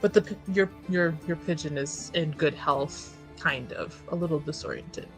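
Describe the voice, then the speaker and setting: A woman casually improvising her character's actions as a tabletop player, informal and halting. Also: low voice, faint music behind, poor audio.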